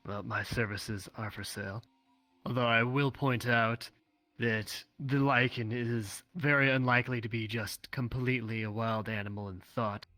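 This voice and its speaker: A man's voice: medium pitch, fairly even intonation, normal speed, sharp tone, no room sound, soft background music, natural voice. A man playing a knowledgeable, pragmatic trapper for hire in an improvised VR roleplay, reasoning things out aloud. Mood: pragmatic, pondering. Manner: analytical, thinks aloud with hedged reasoning. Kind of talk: improvised in-character roleplay in a social VR game.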